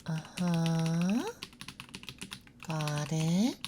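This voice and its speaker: A soft-spoken ASMR performer playing a gentle, calming nurse, speaking in a soothing, unhurried way during a roleplay intake. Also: mid-range voice, background noise.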